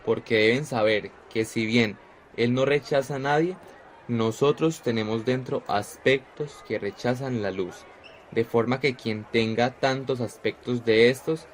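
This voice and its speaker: A solemn, earnest disciple, played by a man in a radio drama, preaching to a crowd in a measured, exhorting voice of middling pitch. A faint hiss sits under his lines. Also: close to an everyday voice. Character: Esteban, a gentle earnest male disciple.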